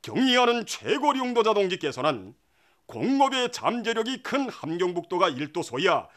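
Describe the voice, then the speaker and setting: A man's voice: sits high, very animated, normal speed, fairly bright tone. A man with a North Korean accent reading scripted state television news narration in a solemn, reverent, declamatory manner.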